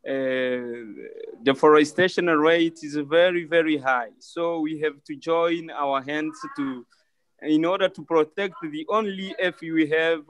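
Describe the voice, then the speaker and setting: Slow-speaking man with a Congolese French accent. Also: a little high, a bit bright, poor audio. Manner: halting, apologetic, repeats words. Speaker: climate activist. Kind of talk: remarks on a video call. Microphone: video call.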